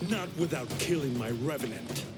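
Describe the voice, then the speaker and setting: A man's voice: mid-range voice, normal speed, lightly acted. Stern, intense warrior shaman played by a man. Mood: defiant, grim. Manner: intense, solemn, declamatory. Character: proud, stern male warrior shaman, hot-tempered and righteous. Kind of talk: video game cutscene acting. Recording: voice under loud game music.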